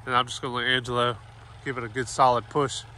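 A man's voice: medium pitch, little pitch movement, fast, pushed and bright. A man in casual, practical talk, explaining each step of a tree removal as he films on a farm. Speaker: tree service worker filming his job. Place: outdoors on a farm. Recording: outdoor noise, chainsaw and tractor.

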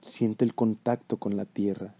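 Guy doing a guided meditation, lowish mellow voice, calm and measured, pretty flat melody.

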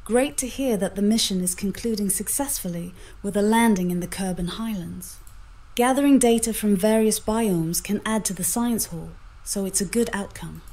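A woman's voice: mid-range voice, moderate pace, mellow. A synthetic woman's voice speaking as an AI mission companion in polite, polished, scripted sentences. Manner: polite, polished, formal phrasing. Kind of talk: scripted assistant speech.

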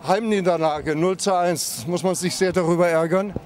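A man's voice: high-pitched, fairly even intonation, moderate pace, a little mellow. A man working as an interviewer, casually questioning fans outdoors by a football stadium on a handheld microphone. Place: outdoors among passing crowd outside a football stadium.

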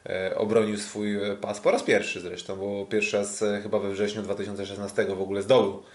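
Polish guy on a kickboxing podcast, lowish voice, stops and starts a lot with long ums. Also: level tone. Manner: hesitant, drawn-out fillers and frequent restarts. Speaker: podcast co-host, kickboxing commentator. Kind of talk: casual sports podcast recap.

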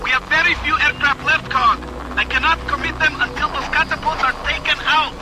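A man's voice: extremely high pitch, steady tone, brisk, heavy background noise. An actor playing a formal male military commander speaking over the radio. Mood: urgent.

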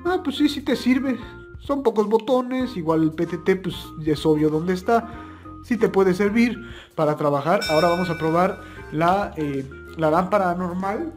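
A man's voice: high voice, normal speed, soft background music. A young man speaking in a casual, chatty, folksy way as he hosts a product-test vlog. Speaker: video host. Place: plain indoor room, also outdoors at night.

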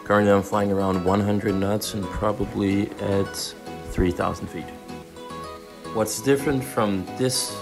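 A young man with a Danish accent narrates in an explanatory way. His voice sits very low and has a gravelly fry. Music plays clearly behind him. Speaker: student pilot.

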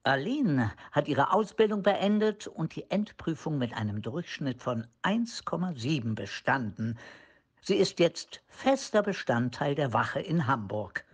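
An actor narrating a police crime radio play in a scripted storytelling manner. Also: male voice, fairly high pitch, lively intonation, unhurried, breathy edge, full character voice.

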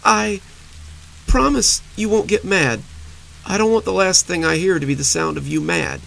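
A man voicing a frightened young wife whose high, overly sweet voice keeps breaking as she holds back tears. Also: wildly swooping pitch, measured pace, gravelly, pushed and bright, breathy edge, full character voice, low-quality recording. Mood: tearful.

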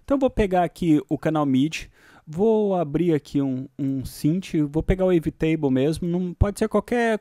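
A man's voice: on the high side, warm tone, no room sound, clean studio sound. A Brazilian man hosting a casual music production screencast, thinking aloud and often checking in with short tag questions. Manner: informal, frequent tag questions. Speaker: music production tutorial host. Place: indoors, voice-over on a screen recording.

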